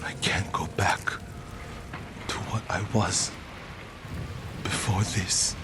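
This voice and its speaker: An actor playing a grieving, vengeful warrior monk in a video game, speaking in a solemn, brooding and terse way. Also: male voice, deep voice, steady tone, slow, over music. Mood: hushed, haunted. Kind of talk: dramatic video game cutscene acting.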